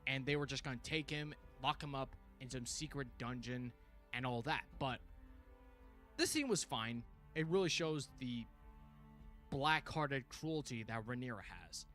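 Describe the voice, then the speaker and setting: A man's voice: medium pitch, measured pace, soft background music. A man giving casual, exclamatory fan commentary as a livestream host, his voice tiring as he goes. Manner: animated, exclamatory, informal. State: voice tiring, frequent throat clearing. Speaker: livestream host reviewing a TV series.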